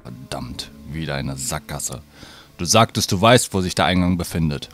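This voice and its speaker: A man playing a grim, proud, distrustful druid in a fantasy audio drama, gruff and commanding. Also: a bass voice, lively intonation, moderate pace, fairly bright tone, soft background music, full character voice. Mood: suspicious, annoyed.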